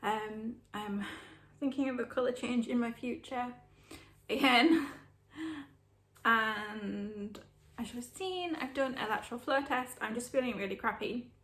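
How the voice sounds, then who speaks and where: A woman's voice: on the high side, unhurried, fairly bright tone. Sniffly woman vlogging. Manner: chatty, rambling, self-deprecating. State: sniffly with a sore throat. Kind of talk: casual chat to camera. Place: home room indoors. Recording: roomy echo.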